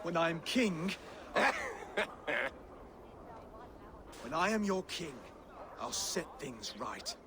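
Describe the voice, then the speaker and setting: A man's voice: on the high side, very animated, measured pace, background noise. An actor playing an earnest, mild-mannered Saxon nobleman and would-be king in a video game, speaking with idealism. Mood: pleading, insistent. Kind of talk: video game cutscene acting.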